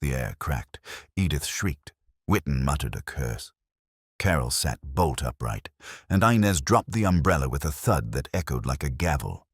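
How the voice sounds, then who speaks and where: A man's voice: very deep voice, big pitch swings, normal speed, slightly rough, no room sound, clean studio sound. A man reading a scripted detective story as a dramatic audiobook narrator.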